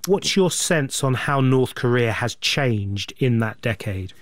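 A man interviewing a guest in a conversational broadcast style, asking measured, probing questions attentively. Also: medium pitch, animated, no room sound.

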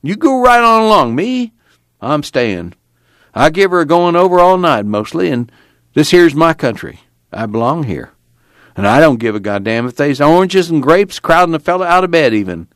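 A man voicing a stubborn, cantankerous old farmer grandfather with folksy rural speech, pitched well above his own voice. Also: very animated, normal speed, fairly bright tone, no echo, clean studio sound. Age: older.

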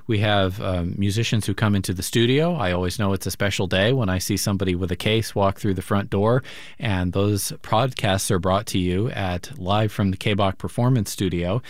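A male radio co-host making a pledge drive appeal and thanking listeners warmly. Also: fairly low pitch, normal speed, no room sound, studio quality.

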